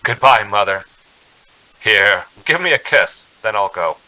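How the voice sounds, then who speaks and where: A man acting an intense, bright-voiced haunted traveler. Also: on the low side, expressive, exaggerated voice, low-quality recording. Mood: cheerful, reassuring. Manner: urgent, dramatic, halting confession. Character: haunted thirty-six-year-old traveling man, desperately insisting he is sane. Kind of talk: 1940s radio drama acting.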